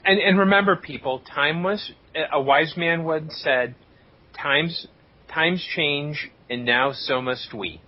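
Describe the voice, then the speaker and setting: A man's voice: fairly high pitch, slow pace. A man speaking as a panelist in casual podcast chat. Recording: dull, lo-fi podcast audio.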